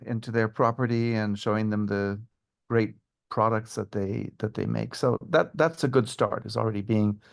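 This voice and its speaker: Male historian and guide, lowish pitch, measured interview delivery, informative and explanatory. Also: vocal fry. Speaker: tour guide and historian guest. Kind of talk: informative interview answers about travel.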